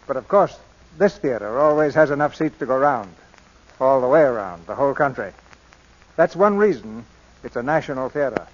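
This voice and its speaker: Stately old-time radio host. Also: male voice, mid-range voice. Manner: stately, polished showman delivery. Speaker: radio drama host and producer. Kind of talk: formal introduction and scripted narration. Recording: dull old radio broadcast.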